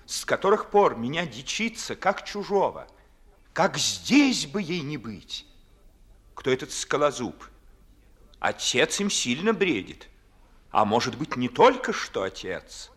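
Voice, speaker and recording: An eloquent, mocking freethinker in vintage radio theatre. His bright voice sweeps widely up and down as he declaims verse with ardent, oratorical phrasing. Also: fairly high pitch, sing-song, a little slow. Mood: pensive, uneasy. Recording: 1950s Soviet radio play recording.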